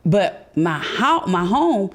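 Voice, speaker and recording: A woman's voice: medium pitch, big pitch swings, slow pace. A woman from Chicago hosting a podcast interview, talking in a conversational, reflective, encouraging way. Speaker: podcast host. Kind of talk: casual interview.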